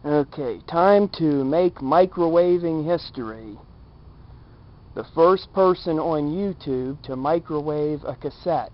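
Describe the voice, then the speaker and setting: A man's voice: on the high side, slow. A man narrating a casual experiment vlog as its host, in a jokey, mock-affectionate way. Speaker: video show host. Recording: dull lo-fi camera audio, background noise.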